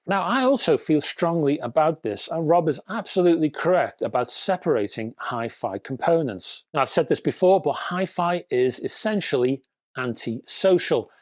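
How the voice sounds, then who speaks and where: Man presenting with very lively, swooping intonation. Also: mid-range voice, no room sound, clean studio sound. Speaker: host of a hi-fi news show. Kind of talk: scripted presenting to viewers with asides.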